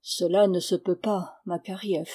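A sad old man, the elder of a village, voiced by a woman narrator. The tone is mellow and husky, gentle and regretful, yet firm, as in a literary audiobook. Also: medium pitch, normal speed, close to an everyday voice. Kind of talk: dramatic audiobook character reading.

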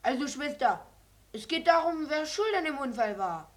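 A woman's voice: high-pitched, animated, moderate pace. A woman playing a boastful, argumentative young boy in a hospital ward, in a German radio play. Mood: defensive, indignant.

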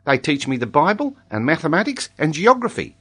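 A man's voice: a little high, sing-song, a little fast, a bit bright, slightly rough, pitched above the performer's own voice, exaggerated voice. A man voicing a polite, eager young schoolboy in a storybook reading, speaking earnestly like a pupil reciting lessons. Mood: polite, proud.

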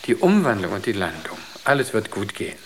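An actor in a German radio drama playing an entranced artist who speaks with solemn, rapt conviction. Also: male voice, lowish voice, very animated, a little fast, slight background noise. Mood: serene conviction. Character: entranced male artist, serenely devoted to an inner voice.

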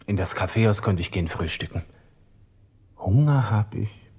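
An actor playing a brooding, self-pitying young army lieutenant with a Viennese accent, musing and halting in a radio play monologue. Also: male voice, expressive, darkish tone, slightly rough. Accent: Austrian, Viennese. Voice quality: low-pitched. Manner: musing, halting, talking to himself.

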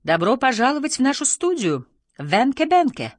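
A woman's voice: high-pitched, sing-song, normal speed, dry recording, studio quality, pitched above the performer's own voice. A woman voicing a formal, eager male TV talk-show host in an audiobook, with emphatic, polished delivery. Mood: eager, solemn.

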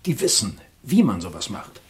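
An actor playing a knowledgeable weapons expert in a German radio play, speaking in an assured, matter-of-fact, instructive way. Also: male voice, lowish voice, very animated, moderate pace.

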